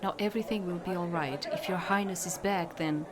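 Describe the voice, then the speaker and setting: A woman's voice: lowish voice, fairly quick, background noise, lightly acted. A woman voicing an earnest, devoted male knight in a visual novel, speaking formally. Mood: earnest, relieved. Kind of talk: visual novel dialogue read aloud.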